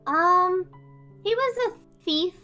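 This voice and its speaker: A woman's voice: very high voice, expressive, extremely slow, sharp tone, soft background music. A woman improvising a reformed fire mage in a game roleplay, hesitant and stammering, often repeating words.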